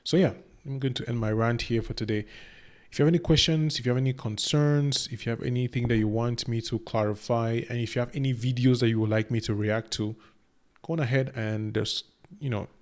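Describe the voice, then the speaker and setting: A young Cameroonian man with a middle-pitched voice talks through his thoughts as a reaction host. His pace is loose and rambling, and he often restarts his sentences. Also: steady tone, fairly quick. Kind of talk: casual reaction commentary.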